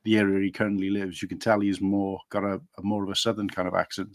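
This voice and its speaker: A man's voice: low-pitched, level tone, quick pace, no room sound, studio quality. A man from Wales with a Welsh accent, chatting casually as a guest on a livestream, hesitant and rambling. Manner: rambling, hesitant with fillers and restarts.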